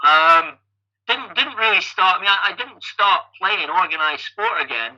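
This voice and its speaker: A Scottish man in his fifties talks through his story as an interview guest. His voice sits a little high and sounds very bright and forward. He keeps restarting his sentences and repeating the opening word as he goes. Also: animated, normal speed, highs cut off. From Scotland. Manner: chatty, repeats words when starting sentences. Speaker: guest athlete and coach.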